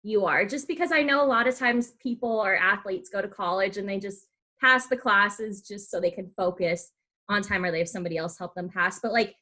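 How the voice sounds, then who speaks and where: A woman's voice: mid-range voice, fairly quick, pushed and bright. An American woman hosting a podcast, chatting informally with her guest in a casual, conversational interview style. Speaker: podcast host.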